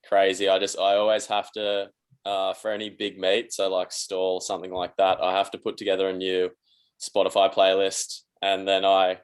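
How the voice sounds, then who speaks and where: Low, monotone male athlete, matter-of-fact, on a call. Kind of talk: casual video call chat. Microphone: video call.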